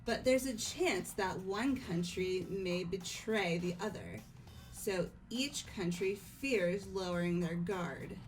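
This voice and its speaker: A woman's voice: medium pitch, lively intonation, slow, soft background music, rough recording. A woman voicing a serious, explanatory student character in an amateur game dub.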